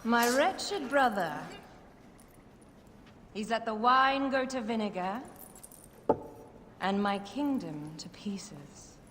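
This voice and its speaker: An actress playing an ambitious, cutting queen with a regal, deliberate manner in a game cutscene. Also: fairly high pitch, animated, big-room sound, noisy background. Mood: scornful. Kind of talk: dramatic video game cutscene acting.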